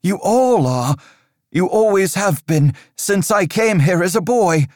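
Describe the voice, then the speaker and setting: A man's voice: high-pitched, animated, measured pace, darkish tone, no echo, clean studio sound, voice pushed up. A man voicing a desperate young monk who pleads and grows menacing. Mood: spiteful.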